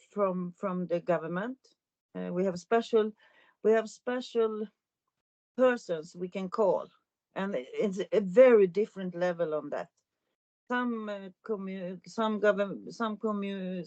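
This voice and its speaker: A middle-aged woman from Sweden, a local politician, speaking haltingly with many restarts in a webinar. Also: medium pitch, darkish tone, no echo. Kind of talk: webinar testimony. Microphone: video call. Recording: dry, dull video call audio.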